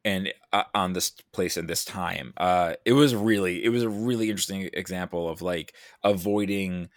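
Low-voiced man, rambling casual chat. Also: normal speed, no echo, clean studio sound. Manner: rambling, self-deprecating. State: tired. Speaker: podcast guest, writer and creative producer.

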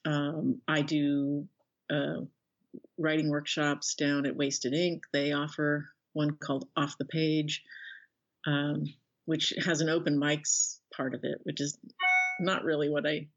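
A woman's voice: deep voice, little pitch movement, slow. A woman speaking casually as a guest author in an interview, thinking aloud in a rambling, self-effacing way. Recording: dull lo-fi call audio.

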